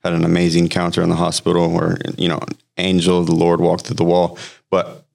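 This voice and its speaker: Man, 30s, raised in Hawaii, very deep voice, halting conversational storytelling. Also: monotone, creaky, a little mellow. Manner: halting, frequent fillers and restarts. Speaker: podcast guest. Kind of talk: personal testimony, conversational storytelling.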